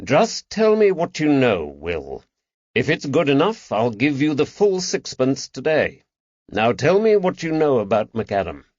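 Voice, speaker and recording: A man as a measured, patient detective. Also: medium pitch, huge pitch swings, a little mellow, no room sound, muffled recording, pitched above the performer's own voice. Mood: cautious, coaxing. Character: patient, careful male detective questioning a child.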